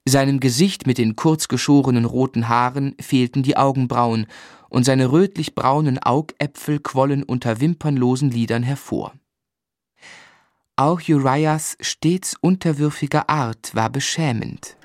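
An actor playing a grown man who reflectively narrates his boyhood in a German radio drama, in a measured literary delivery. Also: mid-range voice, dry recording, clean studio sound, natural voice.

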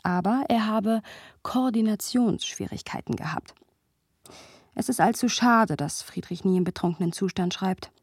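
Wry young female narrator for radio drama. Husky, intimate, playful, dry studio sound. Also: medium pitch, moderate pace, no echo. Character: wry, playful young woman narrating memories of her friend. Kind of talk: radio play narration and dialogue.

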